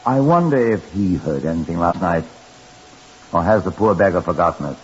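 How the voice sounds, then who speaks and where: A man narrating a mystery in the first person as the detective, with dry, measured storytelling in old-time radio style. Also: low-pitched, expressive. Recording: muffled old radio recording with hiss.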